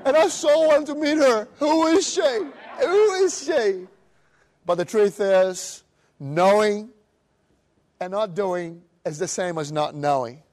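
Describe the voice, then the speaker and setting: A middle-aged man from Brazil with a Brazilian Portuguese accent, giving an animated seminar talk and acting out other people's voices. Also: high voice, slow. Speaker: motivational speaker. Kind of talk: live seminar presentation.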